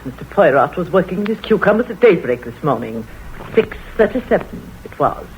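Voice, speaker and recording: A woman's voice: lowish voice, big pitch swings, moderate pace. An actress playing a prying, teasing woman in 1940s radio acting, on a dull old radio recording with faint hiss. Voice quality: arch, prying. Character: nosy teasing middle-aged woman, sister.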